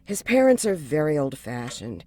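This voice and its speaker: A woman's voice: sits low, very animated, moderate pace, warm tone, a little hiss or hum. A woman playing an outspoken, defensive young therapy client in an audio drama, with a frank, sardonic manner. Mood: defensive, guarded.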